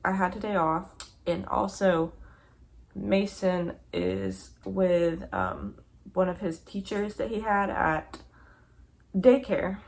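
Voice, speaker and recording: A young woman in a casual, chatty vlog update, her voice tired and croaky from just waking up, rambling with lots of fillers. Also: on the low side, slight background noise. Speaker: vlogger.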